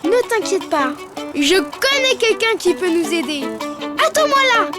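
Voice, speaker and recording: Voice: extremely high pitch, sing-song, unhurried, exaggerated voice. An actor playing a friendly young child who explains drawing step by step in a preschool cartoon. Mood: confident, upbeat. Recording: loud music behind the voice.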